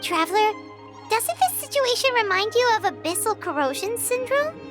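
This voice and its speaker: A woman's voice: very high-pitched, sing-song, normal speed. A woman voicing a small, childlike game companion, helpful and talkative, with a cute, emphatic, explaining manner. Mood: concerned. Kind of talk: voiced video game dialogue. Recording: background game music.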